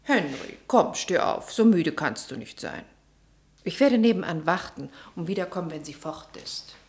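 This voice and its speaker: An actress in a German radio play as a brisk, knowing, rather bossy confidante who sounds sure of herself. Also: female voice, on the low side, animated, lightly acted. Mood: brisk, urging.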